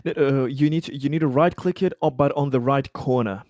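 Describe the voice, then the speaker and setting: A man's voice: medium pitch, a little fast, mellow, no room sound, studio quality. A man playing a tabletop game, chatting casually and jokily with repeated words. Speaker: player in a tabletop roleplaying game.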